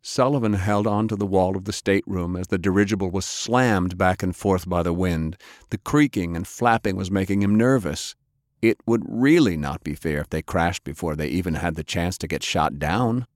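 A man's voice: sits low, moderate pace, dry recording, clean studio sound. A man reading adventure fiction as a dramatic audiobook narrator.

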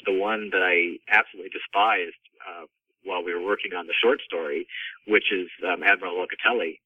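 A man's voice: lowish voice, no room sound. A man talking as an interviewed author over a phone line, explaining his book and correcting himself as he goes. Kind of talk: discussion of a co-written book. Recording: tinny phone line, distant.

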